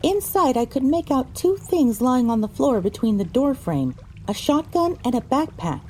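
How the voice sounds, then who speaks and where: A woman narrates a scripted story in a high, soft-toned, mellow voice. Her reading is lively and varied, with faint noise behind it. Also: normal speed. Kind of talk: scripted audio drama narration, first person.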